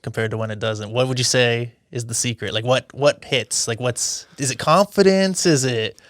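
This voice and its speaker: A man's voice: medium pitch, moderate pace, no room sound. Easygoing male podcast host, dry sound. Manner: easygoing, supportive, trailing questions. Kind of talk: casual interview chat. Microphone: studio microphone.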